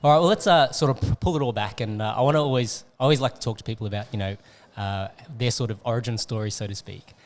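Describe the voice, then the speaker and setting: A man's voice: medium pitch, fast. A man hosting an interview, asking rambling questions full of fillers. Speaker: podcast host. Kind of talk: casual interview chat.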